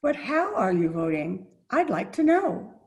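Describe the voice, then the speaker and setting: A woman's voice: medium pitch, big pitch swings, measured pace, darkish tone. A woman playing an indignant, principled lady being courted in an old-time radio comedy, prim and scolding. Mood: incredulous.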